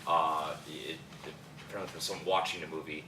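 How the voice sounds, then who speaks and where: Man, deep voice, casual halting discussion, distant mic, noisy room. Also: flat delivery, reverberant. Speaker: actor discussing a script.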